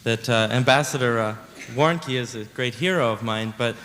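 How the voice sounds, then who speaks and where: A man's voice: mid-range voice, moderate pace, a bit bright, a little hiss or hum. Young man asking a question in a hall. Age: young adult. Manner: earnest, wordy, searching for words. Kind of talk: town hall question from the floor. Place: large lecture hall. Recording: long reverb in a large hall.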